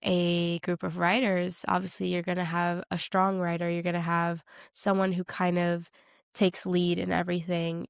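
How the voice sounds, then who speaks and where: A woman's voice: on the low side, creaky, smooth, no room sound, clean studio sound. A woman co-hosting a podcast in casual chat, conversational with emphatic, slangy reactions. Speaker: podcast co-host. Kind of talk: casual chat about a TV show.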